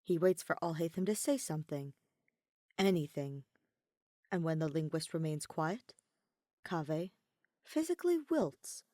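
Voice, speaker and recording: Expressive woman narrator, lower voice, lively pitch. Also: a little slow, long pauses, no echo, clean studio sound. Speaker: podfic narrator reading fan fiction.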